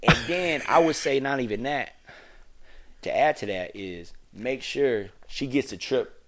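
A man's voice: medium pitch, expressive, a little slow. A man in casual podcast chat, slangy and emphatic as he hands out advice. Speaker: podcast co-host.

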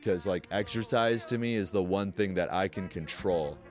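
A man's voice: low voice, moderate pace. A man who sometimes stutters, talking candidly as a guest in a casual podcast conversation. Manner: thoughtful, candid, speaks with some stuttering and restarts.

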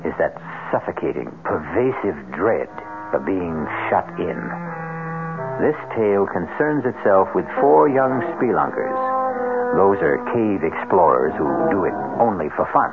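Low-voiced grave male host, tinny old radio. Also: very animated, a little slow, loud music behind the voice. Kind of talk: scripted suspense narration. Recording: tinny old radio, clear hum and noise.

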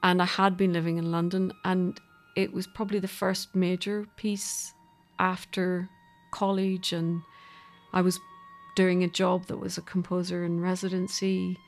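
Woman with a lowish voice, thoughtful interview chat, kind of working out her sentences as she goes. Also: little pitch movement, a little slow, faint music behind. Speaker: composer, interview guest. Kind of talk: reflective interview conversation.

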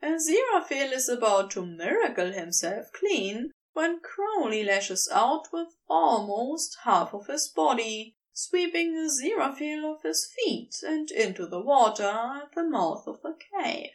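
Slow, high-voiced woman narrating fiction. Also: sing-song, recorded from a distance. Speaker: podfic reader.

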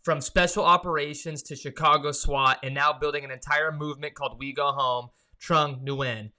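A man's voice: fairly high pitch, steady tone, moderate pace, bright, forceful tone. A man co-hosting a podcast, giving an upbeat introduction. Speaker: podcast co-host.

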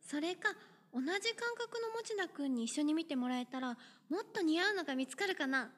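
A woman's voice: very high voice, animated, brisk. A woman voicing a cheerful, friendly teenage schoolgirl who talks in a breezy, teasing way, in an audio drama. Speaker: voice actor.

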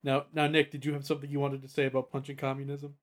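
A man's voice: medium pitch, monotone, racing. A man co-hosting a podcast in casual, joking, slangy chat. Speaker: podcast co-host.

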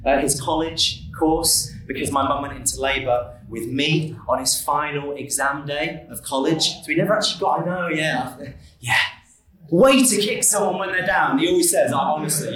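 A man's voice: a little high, a bit bright. A young man telling funny, self-deprecating stories about his life to an audience. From England, Manchester area. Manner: self-deprecating, joking, quick asides. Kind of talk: comedic personal storytelling. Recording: echoey hall from far off.

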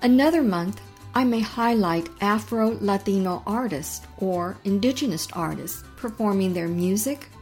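A middle-aged woman hosting a program, reading a welcoming scripted introduction with measured delivery. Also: medium pitch, animated, darkish tone, faint music behind. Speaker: podcast host and interviewer. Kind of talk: scripted intro then interview questions.

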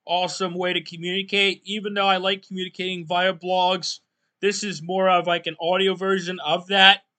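A man hosting his own podcast in a casual, rambling solo talk, his voice going hoarse and often clearing his throat. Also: high voice, pushed and bright. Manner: rambling, loose and self-deprecating, many fillers. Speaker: podcast host.

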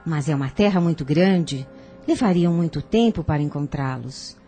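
A woman playing a firm, persuasive older aunt in a radio soap opera, genteel, formal and measured. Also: low voice, expressive, dark tone, soft background music. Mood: firm, insistent.

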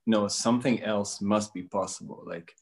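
A man from Bosnia, a spiritual coach, answering interview questions in a hesitant, musing way with many pauses. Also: on the low side.

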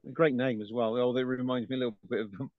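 A man's voice: medium pitch, steady tone, fast talker, dry recording. A man co-hosting a casual film podcast who laughs often and trails off into fillers. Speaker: podcast co-host. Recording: dry, dull lo-fi sound.

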